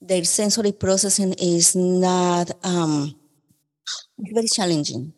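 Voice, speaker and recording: A woman with a Colombian Spanish accent talks through ideas in a careful, measured way, with frequent pauses as she explains. Her voice sits a little low. A long reverb trails behind her words. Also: a little mellow. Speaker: occupational therapist guest. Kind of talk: podcast conversation, explaining.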